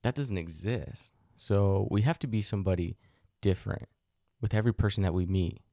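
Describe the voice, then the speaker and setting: Deep-voiced reflective man hosting a podcast. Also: moderate pace, vocal fry, mellow, no room sound, studio quality. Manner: thoughtful, poses rhetorical questions, frequent fillers. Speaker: podcast host. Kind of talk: reflective solo podcast monologue.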